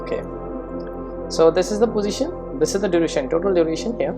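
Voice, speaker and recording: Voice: medium pitch, fast talker, loud music behind the voice. A programming instructor giving a casual step-by-step screen-recorded tutorial, often checking in with "right?" and "okay".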